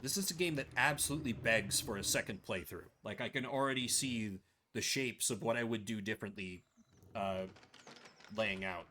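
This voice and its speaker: A man's voice: fairly low pitch, normal speed, pushed and bright, slight background noise. A man doing casual game commentary as a streamer, chatty and thinking aloud.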